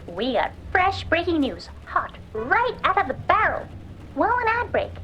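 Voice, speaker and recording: Woman as a high, bouncy gossipy broadcast host. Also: slight background noise, rough recording. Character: perky, gossipy female broadcast host with breaking news. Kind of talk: punchy in-world news broadcast.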